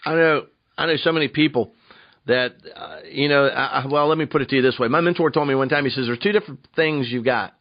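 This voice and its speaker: A man's voice: medium pitch, fast talker, no echo. A man hosting a radio interview in a conversational way, affirming points and repeating phrases for emphasis. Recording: dull lo-fi radio sound.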